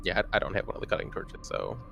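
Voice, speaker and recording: A man's voice: low-pitched, big pitch swings, rapid-fire, vocal fry, bright, forceful tone, faint music behind. A man playing a tabletop roleplaying game, chatting casually and informally with slangy phrasing.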